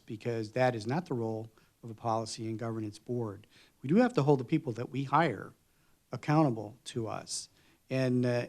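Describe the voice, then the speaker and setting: A man answering as a candidate at a public forum, measured and full of pauses and fillers. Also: mid-range voice, a little mellow. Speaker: board candidate.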